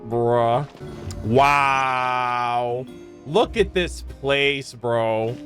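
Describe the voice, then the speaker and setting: A young man doing casual, expressive live game commentary as a streamer, full of slang. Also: medium pitch, drawn-out words, soft background music. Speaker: gaming streamer.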